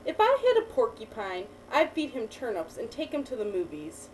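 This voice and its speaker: A woman teacher reading a picture book aloud to a child, pausing to ask questions and praise the answers. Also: on the high side, huge pitch swings, a little mellow. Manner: patient, encouraging, asks and praises. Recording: distant mic with faint background noise.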